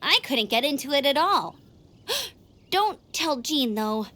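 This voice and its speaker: Perky young knight girl, anime game, really high bright voice, earnest and chatty. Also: sing-song, takes it slowly, heavily acted. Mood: confiding.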